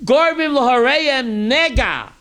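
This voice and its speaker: High, bright-voiced rabbi quizzing his class. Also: takes it slowly, clean tone. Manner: reads Hebrew text then translates, quizzing listeners; animated, emphatic rhetorical questions. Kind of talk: Torah class sermon.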